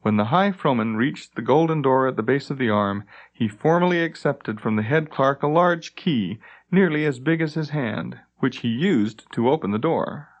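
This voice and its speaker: A man's voice: mid-range voice, moderate pace, hardly any pauses, highs cut off. A man reading scripted fantasy narration as an audiobook narrator.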